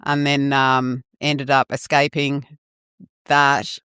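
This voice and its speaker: A woman's voice: very deep voice, flat delivery, takes it slowly, a bit bright, smooth, dry recording, studio quality. A middle-aged woman, born in Fiji and raised in New Zealand, who first spoke Fijian, frankly and casually telling her life story as a podcast guest. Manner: frank, laid-back storytelling with swearing. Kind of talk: casual interview chat.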